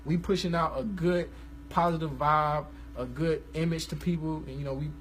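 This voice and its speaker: A man, hosting a casual live stream about gospel music, talking in a relaxed, rambling, slangy way. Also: fairly high pitch, normal speed, a little hiss or hum, poor audio. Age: 20s.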